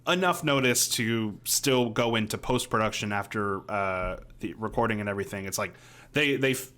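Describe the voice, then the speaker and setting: A man's voice: on the low side, normal speed, pushed and bright. A man, chatting casually as a podcast co-host on a video call. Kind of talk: casual chat. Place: home room, video call.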